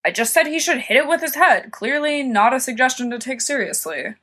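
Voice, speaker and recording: A sly, careless teenage schoolboy from a storybook, acted by a woman reader. The voice is fairly high and bright, swooping up and down as he mocks and scoffs. Everything comes out flippant and cocky. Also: lively intonation, a little fast. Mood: flippant, scoffing. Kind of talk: dramatised fan-fiction audiobook reading.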